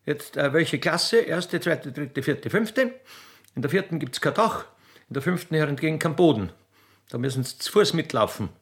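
Comic radio role: grumpy pedantic rural Austrian official, raised pitch, stammering and officious. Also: male voice, expressive, normal speed, slightly rough. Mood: fussy, officious. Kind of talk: comic dialect radio play acting.

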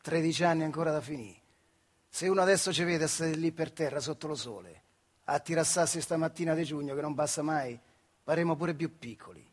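Husky old worker, Marche accent. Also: male voice, on the high side, a little fast. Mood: reminiscing. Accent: central Italian, Marche. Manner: earthy, colloquial, acts out dialogue. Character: retired Italian factory worker recalling his wartime boyhood. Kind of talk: theatrical monologue storytelling in dialect-tinged speech.

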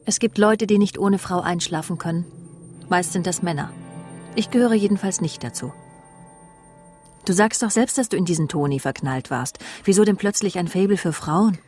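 Low-voiced, possessive, needling woman over music played by an actress. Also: moderate pace, darkish tone, lightly acted. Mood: cool, conversational. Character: possessive, jealous, needling woman recounting a feud. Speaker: radio drama actress. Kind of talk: German radio crime drama, dialogue and confessional narration.

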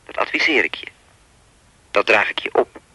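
An actor playing a sinister, persistent phone stalker in a Dutch radio play, talking in a pompous, wordy, coolly controlling way. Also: male voice, fairly low pitch, expressive, moderate pace, sharp tone, husky, dry recording, exaggerated voice, poor audio. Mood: controlling, menacing.

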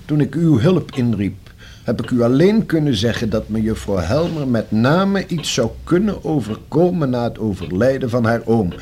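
An actor playing a formal, precise and long-winded notary in a Dutch radio play, with a slightly husky voice. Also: male voice, mid-range voice, sing-song, normal speed, a little mellow, slight background noise, close to an everyday voice. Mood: earnest, justifying. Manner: formal, precise, pedantic legal phrasing. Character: formal, discreet, long-winded male notary explaining a will.

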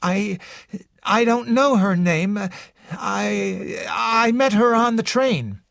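A man's voice: high-pitched, animated, slow pace, creaky, a bit bright, no room sound, studio quality, pitched above the performer's own voice, full character voice. A man voicing a timid young man who stammers nervously in an audiobook reading.

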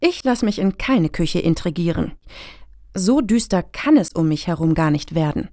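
A woman's voice: lowish voice, expressive, moderate pace, dark tone, no room sound, clean studio sound. Woman as snippy, self-important activist. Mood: indignant. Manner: pointed, sarcastic. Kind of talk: satirical radio play dialogue.

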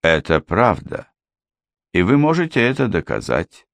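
A man voicing a composed, polite male lawyer who speaks in a measured, firm way. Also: sits low, wildly swooping pitch, a bit bright, no room sound, heavily acted. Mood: calm. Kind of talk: audiobook character voice.

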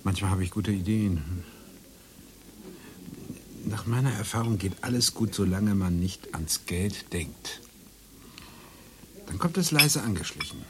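An actor playing a hesitant, ruminative academic in German radio play dialogue. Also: male voice, low voice, expressive, measured pace, pauses often, noisy background. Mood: musing. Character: musing, hesitant male academic who studies Strindberg.

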